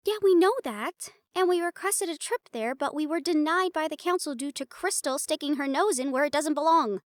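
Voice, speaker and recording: A woman's voice: high-pitched, moderate pace, no echo, lightly acted. A woman playing a playful, sarcastic and tired young team member in a fantasy audio drama, talking casually. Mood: frustrated. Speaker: voice actor in an audio roleplay. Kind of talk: fantasy audio drama roleplay, conversational acting.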